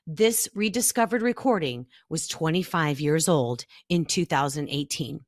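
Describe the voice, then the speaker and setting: Casting: middle-aged American woman narrator, low-pitched, measured script read, very little room sound. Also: lively intonation, no echo, studio quality. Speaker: narrator, former radio broadcaster.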